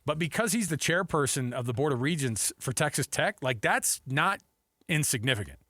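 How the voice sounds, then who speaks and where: A man's voice: a little high, expressive, normal speed, pushed and bright, no echo, clean studio sound. A man hosting sports talk radio, giving opinions emphatically and repeating his points for weight. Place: radio studio.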